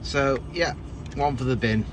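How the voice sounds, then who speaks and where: A man's voice: medium pitch, a little slow. A man giving casual, hands-on DIY narration as a vlogger, talking through what he is doing. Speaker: vlogger demonstrating car fixes. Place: around and inside a car, partly at a desk. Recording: clear background noise from car outdoors.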